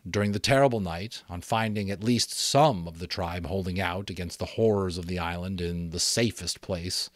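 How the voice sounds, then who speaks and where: A man reading a story aloud as dramatic, expressive narration. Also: low voice, dry recording, clean studio sound. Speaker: audiobook reader.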